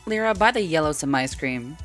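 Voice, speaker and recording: A woman playing a blunt, bossy, brown-furred female alien in audio fiction, with a flat, brusque delivery. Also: deep voice, fairly quick, soft background music. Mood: flat, commanding. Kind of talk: audio fiction character acting.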